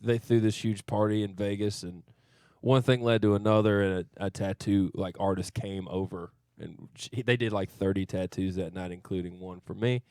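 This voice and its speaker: A man's voice: sits low, steady tone, normal speed, darkish tone, clean tone, no echo, studio quality. A man in his thirties from Arkansas, a country singer answering interview questions in a modest, thoughtful way. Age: 30s. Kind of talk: casual studio interview. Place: radio studio. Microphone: studio mic.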